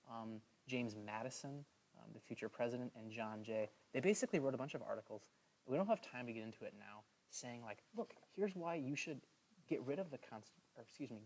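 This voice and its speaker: A man teaching a history lesson over slides in a casual, chatty way, filling pauses with "um" and checking in with "right?". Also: mid-range voice, a little mellow, rough recording. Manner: chatty, many "um"s and "right?" asides.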